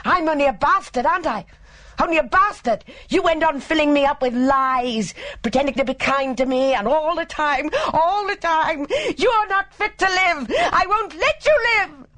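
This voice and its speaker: A narrator voicing a raving, hysterical young man with a high, screaming voice, ranting bitterly in an audiobook story. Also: animated, normal speed, bright, forceful tone. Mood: hysterical rage. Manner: ranting, repetitive, theatrical.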